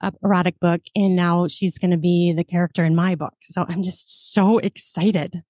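A woman's voice: lowish voice, fairly even intonation, moderate pace, a little mellow, no echo, studio quality. A woman, a podcast host and audiobook narrator, chatting casually and laughing often, recorded in a small foam-lined home booth. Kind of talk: casual chat.

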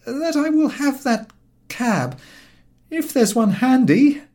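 A man doing an exasperated gentleman, pitched high. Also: animated, takes it slowly, full character voice. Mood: subdued, pleading. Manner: indignant, emphatic, blustering. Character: exasperated, irritable gentleman, quick to take offence.

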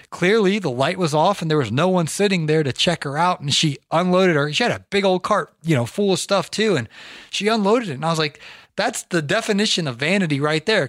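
A hoarse man hosting a podcast, chatting energetically and telling rambling stories. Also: fairly high pitch, a bit bright, no echo, studio quality. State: hoarse from recording. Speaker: podcast host. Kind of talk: casual podcast chat.

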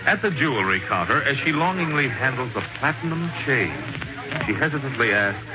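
A man narrating a 1940s radio drama in a theatrical, measured storytelling manner, on a muffled vintage broadcast recording. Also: mid-range voice, expressive. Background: prominent music.

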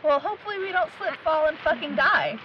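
A woman's voice: high voice, fairly quick, natural voice. A woman playing a determined, blunt young searcher in a supernatural audio drama, talking insistently. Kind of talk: audio drama acting, conversational. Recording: muffled, with loud background noise.

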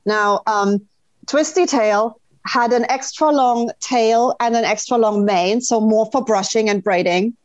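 A woman's voice: a little high, a little slow, no echo. A woman chatting casually and emphatically as a podcast guest, with plenty of swearing, on a lo-fi recording. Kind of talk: casual chat, telling childhood memories.

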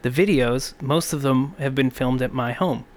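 A man's voice: mid-range voice, normal speed, a little mellow. A man in his thirties, guest and producer on a podcast, chatting casually and dryly with family in a home kitchen. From Canada. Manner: easygoing, dry understatement. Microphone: brought-in podcast mic.

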